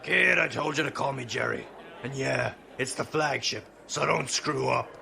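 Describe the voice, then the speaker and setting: Man acting a gruff, gravelly veteran space pirate. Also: medium pitch, normal speed, sharp tone. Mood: impatient, bullying. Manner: brusque, colloquial grammar. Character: gruff, impatient male veteran pirate crewman bossing a rookie. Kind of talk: audio drama acting.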